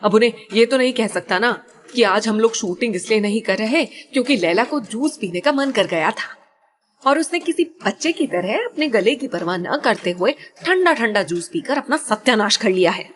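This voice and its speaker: A woman's voice: a little high, lively intonation. Woman voicing an exasperated male film director. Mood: scolding. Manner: scolding, rapid and loud.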